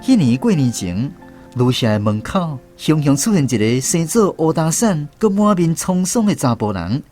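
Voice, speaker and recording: Expressive Taiwanese male storyteller, highish voice. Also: normal speed, soft background music. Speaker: radio drama narrator. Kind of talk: scripted storytelling narration.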